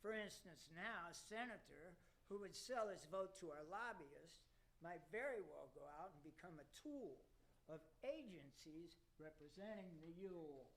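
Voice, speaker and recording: A man's voice: high-pitched, animated, normal speed, fairly bright tone, low-quality recording. A man playing a commanding devil chairing a council in hell, grandiose and declamatory, in amateur rhymed radio theater. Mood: formal, presiding. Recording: noticeable background noise.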